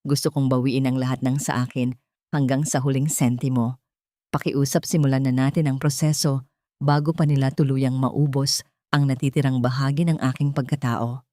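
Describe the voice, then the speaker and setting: A woman's voice: very deep voice, level tone, moderate pace, warm tone, dry recording, pitched below the performer's own voice. A woman voicing a wronged wife in an audio story, earnest and restrained, with a Filipino accent. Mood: resolute. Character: wronged wife, pained yet quietly resolute woman.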